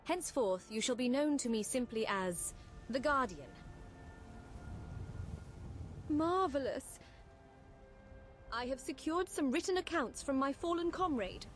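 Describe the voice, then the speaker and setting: A woman's voice: high-pitched, expressive, normal speed, faint music behind. A woman voicing a formal, devoted mage in a fantasy video game, with courteous, archaic phrasing. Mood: courteous, resolute. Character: formal, devoted female mage of a secretive college. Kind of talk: scripted fantasy game dialogue.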